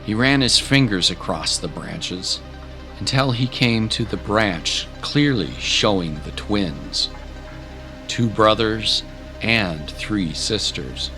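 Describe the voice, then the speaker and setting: A man reading scripted dramatic narration of a fantasy adventure in a measured, deliberate way with pauses for effect. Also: fairly low pitch, lively intonation, over music. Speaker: storyteller, narrator.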